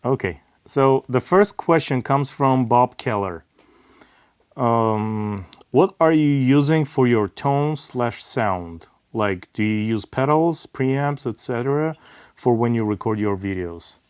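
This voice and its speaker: A middle-aged man hosts a relaxed question-and-answer session, reading viewer questions aloud in a plain, easygoing way. He pauses often on "uh" as he talks. His voice sits at a middling pitch and is recorded dry, with no room sound. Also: clear, resonant tone, no room sound. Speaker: host, father of the bassist.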